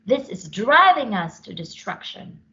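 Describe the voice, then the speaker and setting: A woman's voice: medium pitch, sing-song, a little slow, sharp tone, highs cut off, pitched above the performer's own voice, heavily acted. A woman voicing an overwhelmed, distressed new mother from a storybook in a dramatic, exclaiming way. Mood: exasperated. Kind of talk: storybook character voice.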